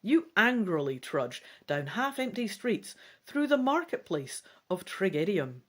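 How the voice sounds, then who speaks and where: A woman's voice: medium pitch, sing-song, measured pace, fairly bright tone. A woman reading a fantasy gamebook aloud as a dramatic narrator. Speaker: gamebook reader.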